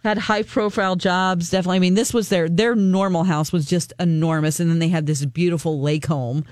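A woman's voice: fairly low pitch, steady tone, brisk, darkish tone, no echo. Woman, radio co-host, from Missouri, chatty, laughs a lot, dry studio sound. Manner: chatty storyteller, laughs often. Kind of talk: morning radio banter.

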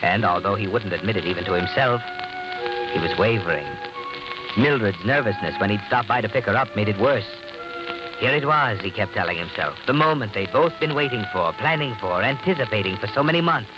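An actor playing an ominous, all-knowing male narrator in an old-time radio crime drama, dramatic and measured. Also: lowish voice, expressive, close to an everyday voice, rough recording. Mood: suspenseful. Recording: vintage radio recording with music behind.

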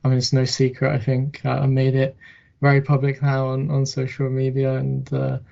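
A young man from Hungary raised in England, a journalist guest on an interview podcast, who speaks haltingly with many fillers and restarts. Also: medium pitch, completely flat delivery, gravelly, mellow, dull audio. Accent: English. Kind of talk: casual interview chat.

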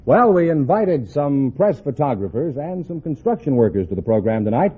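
A man works as a formal mid-century radio announcer, projecting like a showman. His voice sits on the high side and swings widely in pitch, heard through a slightly muffled old broadcast recording. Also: big pitch swings, moderate pace, breathy edge. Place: radio studio before a live audience.

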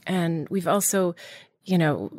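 A woman's voice: lowish voice, animated, slow pace, a little mellow, no room sound. A middle-aged woman from Iran, founder of a beauty brand, answering interview questions with eager enthusiasm and many restarts.

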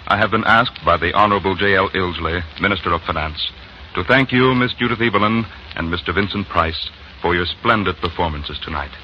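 A man's voice: low voice, fairly quick, highs cut off. A man announcing on 1940s radio in a declamatory, rhetorical style. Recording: faintly noisy 1940s radio recording.

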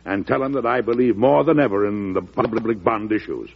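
An actor playing a stern, wry older American president in a 1940s radio drama, emphatic with an occasional stammer. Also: male voice, fairly low pitch, a little fast, gravelly, breathy edge. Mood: firm indignation. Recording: muffled old radio broadcast.

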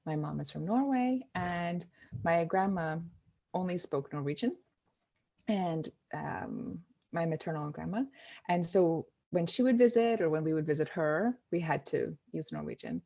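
A woman, an education director, answering interview questions in a relaxed storytelling way, with fillers and restarts. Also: lowish voice, a little slow, creaky. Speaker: Montessori education director, guest.

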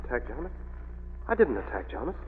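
Casting: male actor for haunted, halting young man in vintage radio melodrama, overwrought, thin noisy recording. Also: medium pitch, sing-song, phone-quality sound, lightly acted. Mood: bewildered. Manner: halting, agitated. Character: tormented young man convinced he is a murderous werewolf. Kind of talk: 1940s radio melodrama acting.

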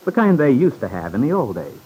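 A man narrating a 1940s radio serial in a measured storytelling manner. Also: mid-range voice, big pitch swings, a little mellow, a little hiss or hum, rough recording. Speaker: announcer, narrator. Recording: vintage radio recording.

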